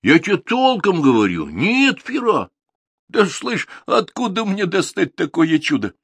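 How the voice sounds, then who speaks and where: Man voicing a fairy-tale peasant boy, scared, whiny pleading, lively rising voice. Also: high-pitched, unhurried, gravelly, husky, no room sound, voice pushed up, heavily acted. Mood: defiant. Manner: pleading, whining. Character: frightened pleading young peasant lad.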